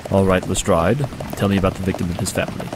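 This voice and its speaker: A man playing a shrewd, dry-witted, aloof pony detective in an audio drama, with clipped, wry, deliberate delivery. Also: sits low, level tone, natural voice. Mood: intrigued, brisk. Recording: noisy, with rain behind.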